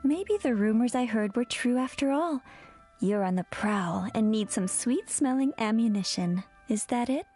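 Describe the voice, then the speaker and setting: Coy, high-voiced flirtatious perfume maker. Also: female voice, lively intonation, normal speed, warm tone, husky, soft background music. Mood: amused, provocative. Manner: coy, teasing, drawling. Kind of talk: video game character acting.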